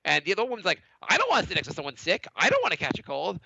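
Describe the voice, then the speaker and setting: A man's voice: sits high, rapid-fire, creaky, lo-fi sound, slight background noise. A man co-hosting a podcast, telling a story in casual chat and acting out other people's lines, a little congested from a cold. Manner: animated, acts out other people's lines in a raised voice. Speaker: podcast co-host.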